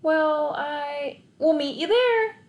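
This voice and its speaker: An earnest, excitable young boy from a storybook, voiced by a woman reader. The pitch is lifted well up, and the room rings with echo. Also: takes it slowly, dull audio. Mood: hesitant.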